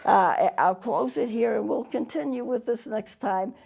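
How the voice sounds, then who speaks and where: A woman's voice: on the high side, moderate pace, vocal fry, a little mellow. A woman teaching a televised music lesson, explaining terms patiently and spelling them out letter by letter, her voice throaty as she is losing it. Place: small TV studio.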